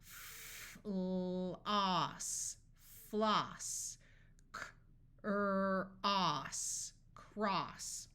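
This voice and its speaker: A woman narrating a scripted phonics lesson as a reading tutor, deliberately sounding out words piece by piece. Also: medium pitch, pushed and bright, clear, resonant tone.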